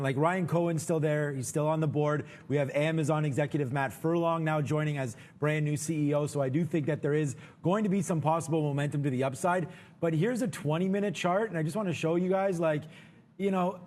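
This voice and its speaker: A man's voice: on the high side, a little mellow. A man co-hosting a live trading show, giving casual market commentary in an informal, chatty way straight to viewers.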